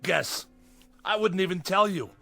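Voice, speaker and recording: A man's voice: sits high, measured pace, vocal fry, full character voice. An actor playing a boyish, nostalgic, restless married man in his late thirties, wistful and defensive, in a mid-century television drama. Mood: nostalgic, excited. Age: late 30s. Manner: excitable, wistful, defensive when nagged. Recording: vintage TV soundtrack with music.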